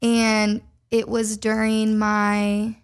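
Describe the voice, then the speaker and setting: A woman's voice: on the high side, monotone, very slow, clear, resonant tone. A young woman from Minnesota, a singer and podcast guest, telling her story in a chatty way full of "like" fillers. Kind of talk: casual storytelling chat.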